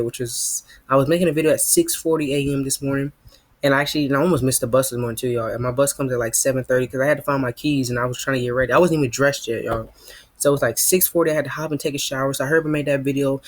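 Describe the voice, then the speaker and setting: A man's voice: mid-range voice, fairly even intonation, rapid-fire, no room sound. A teenage boy, rambling casually to his viewers as a gaming YouTuber, sprinkling his talk with "man" and "you know what I mean". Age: teens.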